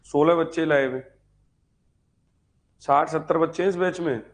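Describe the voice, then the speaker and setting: A man's voice: on the high side, moderate pace. A man giving an informal online history lecture as a teacher, repeating key points and asking check questions. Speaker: history teacher for exam preparation.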